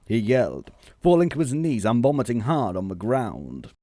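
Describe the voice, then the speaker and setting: A man reading a scripted comic story as narrator, measured and deadpan. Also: mid-range voice, background noise.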